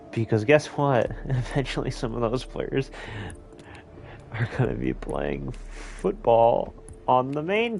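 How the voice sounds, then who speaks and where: An American man giving rambling, casual gameplay commentary at home, chuckling often and quick to rant. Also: medium pitch. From United States. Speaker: gaming video host. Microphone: home recording setup.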